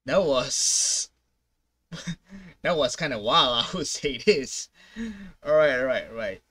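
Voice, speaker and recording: A young man hosting a casual gaming reaction video, commenting in an excitable, slangy way. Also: medium pitch, slow. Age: young adult. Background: game trailer music.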